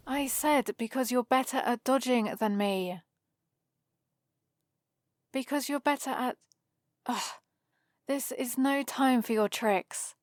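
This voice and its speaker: A woman's voice: fairly high pitch, dry recording. A woman playing a prim, bossy and exasperated vampire librarian in a scripted ASMR roleplay, scolding in a fussy, theatrical way. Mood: annoyed, haughty.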